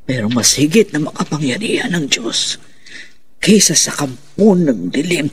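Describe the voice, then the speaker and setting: A devout woman exorcist elder in a radio horror play. The voice is rough and husky, solemn and assured, with an unshakable, pious resolve. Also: mid-range voice, big pitch swings, a little slow, exaggerated voice. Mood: solemn, resolute. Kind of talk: radio drama acting.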